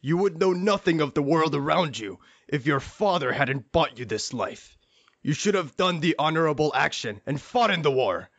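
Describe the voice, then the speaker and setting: An actor playing a haughty, hot-headed young parliamentarian in an audio drama, pompous and indignant. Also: male voice, fairly high pitch, animated, moderate pace, a bit bright, dry recording, dull audio. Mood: indignant, scornful.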